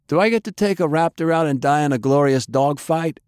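A man's voice: on the high side, brisk, a little mellow, smooth, dry recording, studio quality, pitched above the performer's own voice, natural voice. A man voicing a cocky, joking young American pirate daredevil in an audiobook. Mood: cheeky, eager. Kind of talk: audiobook character acting.

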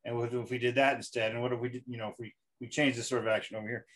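A man, a guest author, chatting casually about writing in a halting way, often repeating words. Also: mid-range voice, level tone, fairly bright tone.

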